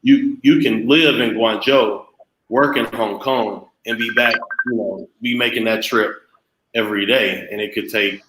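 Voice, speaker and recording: A man's voice: lowish voice, steady tone, a little slow, fairly bright tone, slight background noise. A man explaining things in a casual chat, in a hesitant, piecemeal way. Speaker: panel guest. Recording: echoey room.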